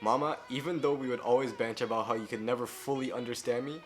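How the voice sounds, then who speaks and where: A man's voice: medium pitch, steady tone, quick pace, faint music behind. A man with a Canadian accent speaking in a personal farewell tribute. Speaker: grandchild giving a tribute.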